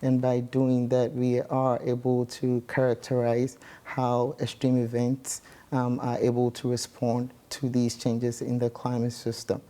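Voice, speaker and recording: A man from Ghana, a guest scientist explaining his research in measured speech with many pauses. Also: medium pitch, monotone, dark tone.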